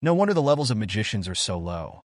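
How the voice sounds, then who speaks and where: A man's voice: fairly low pitch, sing-song, fast, no echo, studio quality. A man voicing a composed, weary young mage from a manga in a restrained, brooding manner.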